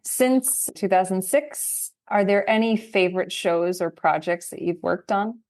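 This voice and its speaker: A woman's voice: medium pitch, fairly even intonation, a little slow, darkish tone. A woman hosting a podcast interview who asks friendly, encouraging questions. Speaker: podcast host.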